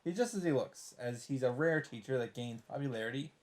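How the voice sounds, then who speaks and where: A man's voice: medium pitch, brisk, darkish tone. A man reading visual novel text aloud for a let's play and adding wry, joking asides.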